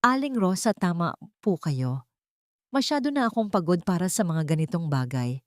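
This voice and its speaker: A woman voicing a wronged woman in an audio story who speaks gently and sweetly, feigning meek surrender. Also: fairly low pitch, sing-song, moderate pace, dry recording, clean studio sound. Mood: feigned sweetness. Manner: gentle, sugary, controlled. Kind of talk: storytelling character dialogue.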